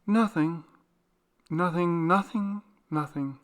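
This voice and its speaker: A man voicing a gentle, curious young woman of twenty-two in an audiobook story, questioning and deliberate. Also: on the high side, pitched above the performer's own voice. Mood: pensive.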